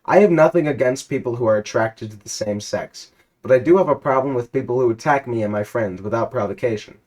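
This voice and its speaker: A man's voice: medium pitch, fairly quick, a little mellow, lightly acted. A man voicing a defensive, indignant male fighter in a text adventure. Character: indignant, defensive man pinned under a scissor kick.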